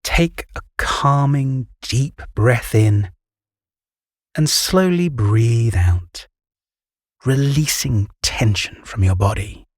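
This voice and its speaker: A British man guiding a meditation in a calm, gentle, soothing voice with measured pauses and a soft, breathy tone. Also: mid-range voice, dry recording, clean studio sound. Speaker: meditation guide. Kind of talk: guided meditation narration.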